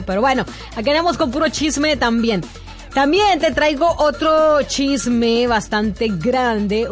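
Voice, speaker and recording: A chatty woman hosts a gossip segment on the radio in a playful, teasing way. Her voice sits a bit high. Soft music plays underneath her. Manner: chatty, playful, laughs often. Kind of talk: casual celebrity gossip chat.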